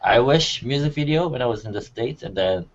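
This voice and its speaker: Male co-host, mid-pitched, very lively intonation, slangy and sweary, slightly muffled recording. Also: brisk. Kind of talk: casual chat. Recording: muffled, faint room noise.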